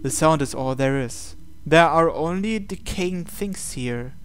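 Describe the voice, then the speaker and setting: A man with a slightly high, clean and resonant voice hosts a game playthrough. He reads visual novel narration aloud and adds his own commentary. His delivery is measured, with pauses between phrases. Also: animated, a bit bright, clear, resonant tone.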